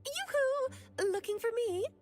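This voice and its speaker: Mischievous game mage, high lilting voice, very animated, airy, theatrical, teasing. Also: female voice, takes it slowly, loud music behind the voice, exaggerated voice. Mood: playful, teasing. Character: playful, breezy, mischievous woman mage. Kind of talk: anime game voice acting.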